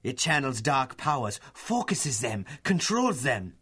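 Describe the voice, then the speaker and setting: An actor playing a pompous, fanatical German professor villain with a German accent in a 1930s-style radio adventure serial, gloating theatrically with drawn-out emphasis. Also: male voice, medium pitch, big pitch swings, bright, forceful tone, slightly rough, full character voice. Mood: menacing, boastful.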